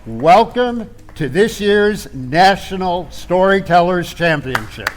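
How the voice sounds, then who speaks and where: A man's voice: sits high, lively intonation, slow. A man playing a proud, formal master of ceremonies announcing contestants on a live stage. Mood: proud, grand. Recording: noisy live theater recording with clicks.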